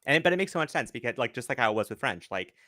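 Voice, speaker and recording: A young man chatting casually on a livestream, animated and swearing, acting out quotes. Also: mid-range voice, very fast, no room sound, clean studio sound. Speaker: livestream co-host. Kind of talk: casual chat.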